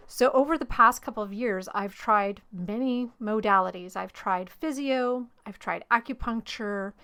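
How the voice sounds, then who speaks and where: A middle-aged woman hosting her own podcast, slightly hoarse from losing her voice, talking openly and personally about her own story. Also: medium pitch, fairly bright tone. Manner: open, personal storytelling with light laughs. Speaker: podcast host and life coach. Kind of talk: solo podcast monologue.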